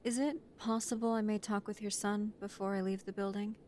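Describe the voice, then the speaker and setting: A woman's voice: mid-range voice, steady tone, brisk, darkish tone, slight background noise, natural voice. A woman playing an earnest, devoted new mafia recruit and loving partner in a romantic audio roleplay. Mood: respectful, resolute. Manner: earnest, emotional, polite to superiors.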